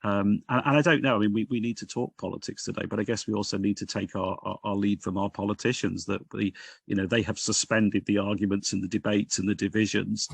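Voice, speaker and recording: Gravelly Liverpool man in his sixties. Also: fairly low pitch, little pitch movement, fast, dry recording. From Liverpool, England. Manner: earnest, reflective, frequent restarts and "dare I say". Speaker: Baptist regional minister, guest. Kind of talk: conversational podcast interview. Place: home room, indoors. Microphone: video call.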